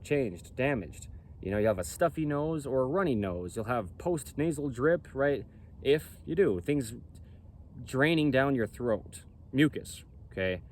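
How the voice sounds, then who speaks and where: Boomy-voiced man explaining emphatically. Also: a little mellow, slight background noise. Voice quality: boomy projecting voice. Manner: emphatic, repetitive, asks "right?" and "okay?". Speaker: clothing company founder. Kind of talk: self-recorded explainer monologue.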